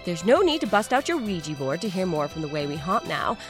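A woman's voice: lowish voice, big pitch swings, fairly quick, music in the background. A woman reading a scripted podcast promo in a whimsical, tongue-in-cheek way. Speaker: podcast host reading a sponsor message.

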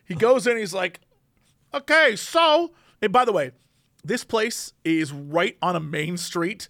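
A man's voice: high voice, slow pace, dry recording, studio quality. A man co-hosting a podcast, telling a story in casual chat and acting out the people in it. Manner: animated storytelling, acting out other people in a raised voice. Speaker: podcast co-host.

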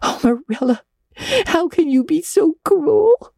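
An imaginative young girl from a classic storybook, pitched high and swinging widely. She is excitable and easily frightened, speaking with breathless, dramatic earnestness in a soft-edged tone. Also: takes it slowly, no room sound, clean studio sound, full character voice. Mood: sobbing, pleading.

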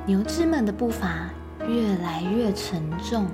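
A woman's voice: mid-range voice, measured pace, over music. A woman narrating a scripted children's story as its storyteller.

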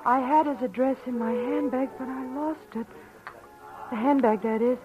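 An actress playing a nervous, hesitant woman client in an old radio detective drama, halting and repeating herself. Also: high-pitched, fairly even intonation, soft background music, lightly acted. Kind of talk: 1940s radio acting. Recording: dull old radio recording.

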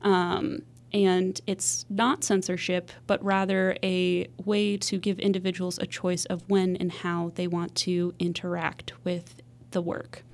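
A woman's voice: lowish voice, slow. Podcast co-host woman, easygoing. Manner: relaxed, frequent ums. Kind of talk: casual podcast chat.

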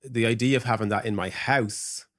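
A man's voice: medium pitch, fairly even intonation, moderate pace, dry recording, studio quality. A man talking informally as a panelist in a tech discussion. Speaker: podcast panelist from a tech company.

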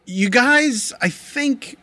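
A man's voice: very high voice, animated, very slow, sharp tone, no room sound. A man in his forties, a guest on a casual podcast chat, teasing the hosts in a wry, mock-indignant way. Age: 40s.

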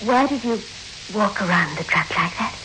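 An actress playing a mysterious, otherworldly young woman hitchhiker in a 1960s radio drama, solemn, measured and cryptic. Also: medium pitch, expressive, natural voice. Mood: detached. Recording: old radio drama, loud vehicle noise.

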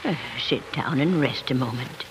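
A woman's voice: rumbling low, normal speed, very noisy. An actress playing a kindly, mysterious old woman of the forest in a 1940s radio drama, with a storybook delivery.

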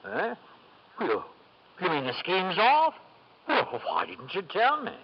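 Nervous, high-pitched man, old radio. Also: wildly swooping pitch, slow pace, full character voice. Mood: anxious, agitated. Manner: hesitant, agitated, plain-spoken. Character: nervous, reluctant business partner, an ex-convict, easily alarmed. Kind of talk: 1950s radio drama acting. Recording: dull lo-fi vintage radio broadcast.

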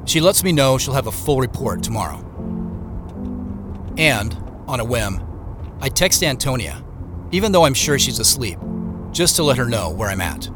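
An actor with an American accent playing a reflective man who narrates his road trip in a measured, literary audio drama style. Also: medium pitch, very animated, over music, lightly acted. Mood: matter-of-fact storytelling.

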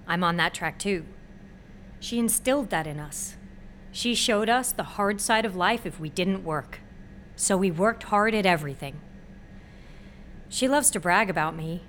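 A woman's voice: medium pitch, a bit bright, a little hiss or hum, close to an everyday voice. An actress playing a sheltered, anxious young female college student who speaks candidly in a naturalistic audio drama. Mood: guarded, reflective. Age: young adult.

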